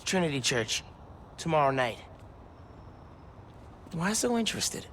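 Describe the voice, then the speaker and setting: A man's voice: a little high, big pitch swings, measured pace, fairly bright tone, slight background noise. A young man acting an earnest, quippy, stressed seventeen-year-old superhero in a video game cutscene. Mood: sincere. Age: young adult.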